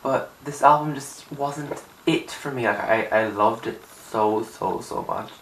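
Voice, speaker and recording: Young male vlogger, morning-raspy, chatty and emphatic; recorded from a distance in an echoey room, light hiss. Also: mid-range voice, measured pace, fairly bright tone. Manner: chatty, rambling, emphatic with filler "like". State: raspy from just waking up. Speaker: music vlogger. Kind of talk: casual opinion chat to camera. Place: small untidy room indoors. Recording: roomy, distant camera sound with faint hiss.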